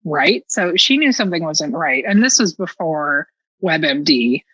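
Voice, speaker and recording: An American woman tells a personal story in a lowish, forceful voice. She is candid and sarcastic, slipping into quoted dialogue as she goes. The sound is close and dry but slightly dull. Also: lively intonation, unhurried, husky, no room sound. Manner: candid, sarcastic, self-mocking, acting out dialogue. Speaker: podcast guest.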